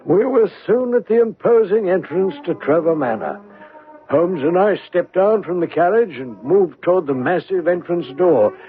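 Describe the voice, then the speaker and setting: High-voiced fussy gentleman companion. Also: expressive, moderate pace, soft background music. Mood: measured narration. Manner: fluttery exclamations, chuckling, formal old-fashioned phrasing. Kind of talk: radio mystery drama acting. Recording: muffled, narrowband old radio recording.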